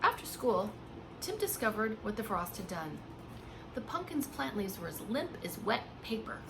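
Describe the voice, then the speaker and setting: A woman reading a picture book aloud like a preschool teacher, with expressive, hushed emphasis and simple asides to small children. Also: mid-range voice, moderate pace, background noise. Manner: simple explanations and questions addressed to small children. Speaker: pre-K teacher.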